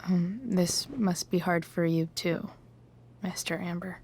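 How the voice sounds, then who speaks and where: A woman voicing a troubled, loyal teenage girl in a video game, musing to herself in a hushed, casual way. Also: lowish voice, distant mic. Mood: uneasy, musing. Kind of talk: introspective game monologue.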